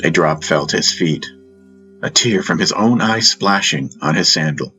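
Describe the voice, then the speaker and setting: Low, forceful man narrating over music. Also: normal speed. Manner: solemn, intense. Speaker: audiobook narrator. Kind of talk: dramatic first-person fantasy narration.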